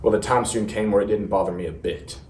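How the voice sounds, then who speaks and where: A man playing a haunted young Marine veteran, telling of war in a halting, stammering dramatic monologue with heavy pauses. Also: on the low side, steady tone, darkish tone, voice pushed down, lightly acted. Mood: horrified, haunted. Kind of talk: serious dramatic interpretation monologue. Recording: roomy, distant mic, faint noise.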